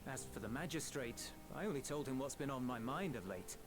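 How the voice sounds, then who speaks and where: A man plays a young soldier in a fantasy game, thoughtful and loyal. His mid-range voice is measured and earnest, reflecting humbly over clearly audible music. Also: medium pitch, expressive, lightly acted. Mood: earnest, reflective. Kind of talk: video game voice acting.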